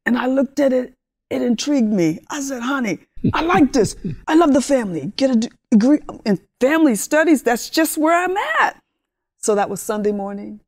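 A woman in her sixties from Honduras, with Spanish as her first language, telling her life story as an interview guest with animated enthusiasm. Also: high-pitched, moderate pace. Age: 60s. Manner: warm, animated storytelling with laughs. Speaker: guest, ministry leader. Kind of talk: interview talk.

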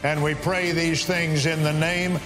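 A man's voice: on the high side, little pitch movement, measured pace. A man leading a public prayer in a formal, declarative manner. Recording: roomy hall echo, music behind. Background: music.

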